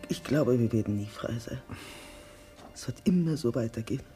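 Fraught, despairing secret lover. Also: female voice, extremely low pitch, warm tone, soft background music. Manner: breathy, halting, fraught. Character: despairing woman in a secret love affair. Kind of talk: radio drama acting.

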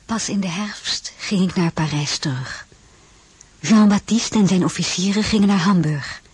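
Wistful wife narrating her life in a radio play, really husky breathy voice, on the low side. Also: moderate pace, a little mellow, no echo. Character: Désirée, reflective marshal's wife telling her story. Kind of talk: first-person dramatised narration.

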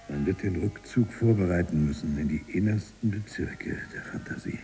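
An actor playing an embittered imprisoned libertine writer in a radio drama monologue, gravelly and breathy at times, brooding and deliberate in delivery. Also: male voice, rumbling low, warm tone, full character voice, rough recording. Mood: brooding, defiant.

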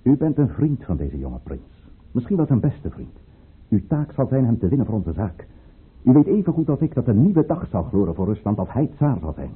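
An actor playing a ruthless, fervent count who leads a conspiracy, with declamatory, commanding delivery in a Dutch radio play. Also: male voice, mid-range voice, slightly rough. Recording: muffled, narrow-band old radio recording.

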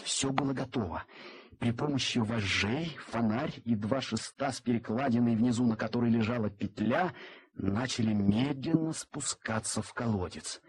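A man narrating a literary story dramatically, with expressive theatrical delivery and deliberate pauses. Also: a little high, slightly rough.

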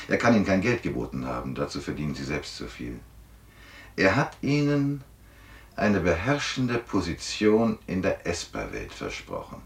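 Deep, relentless investigator. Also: male voice, creaky, distant mic, exaggerated voice. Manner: insistent, deliberate, lecturing. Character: relentless, shrewd male police investigator of the future. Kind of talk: 1970s German science fiction radio drama acting. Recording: roomy studio echo.

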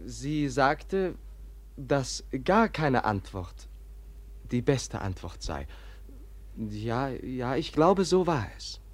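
A man playing a gentle, naive young Russian prince in a 1950s German radio drama, speaking politely and earnestly. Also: mid-range voice, very animated, heavily acted. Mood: hesitant. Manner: polite, earnest, deliberate, formal.

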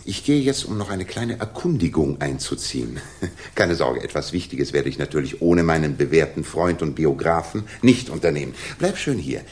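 An actor playing a confident, commanding male detective in a 1960s German radio play, with a husky voice. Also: a bass voice, big pitch swings, normal speed, a little mellow, full character voice. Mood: eager, amused. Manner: commanding, probing, self-assured.

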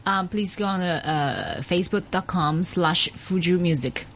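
A woman from Taiwan with a Taiwanese accent, a musician guest speaking hesitantly and softly in a casual radio interview. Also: fairly low pitch, fairly even intonation, moderate pace, slight background noise. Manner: hesitant, soft-spoken, giggly. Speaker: singer-songwriter guest.

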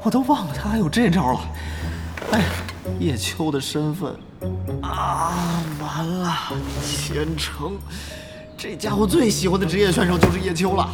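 An actor playing an exasperated, excitable young male guild leader in an audio drama, grumbling and easily flustered. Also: high voice, measured pace. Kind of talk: audio drama acting, dialogue and inner monologue. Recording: music bed under dialogue.

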